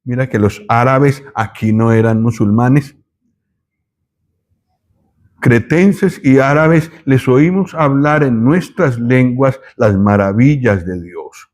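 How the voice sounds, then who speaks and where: A man from Colombia, giving a thoughtful Bible teaching with pauses and self-corrections. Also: medium pitch, a little mellow.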